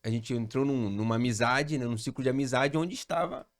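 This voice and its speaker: A Rio de man, a pastor, telling how his life unfolded in a relaxed, storytelling manner. He speaks at a mid pitch, and his voice sits close and dry, with little room sound. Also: brisk, no room sound. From Rio de Janeiro, Brazil. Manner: animated storyteller, relaxed slang. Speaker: pastor, podcast guest. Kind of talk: casual storytelling chat.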